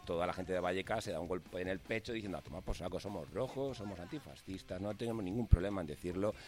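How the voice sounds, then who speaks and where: A man with a Madrid Spanish accent, chatting informally and jokingly as a musician in an interview. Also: low-pitched. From Spain, Madrid. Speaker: musician, band member interviewed. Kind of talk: casual interview chat.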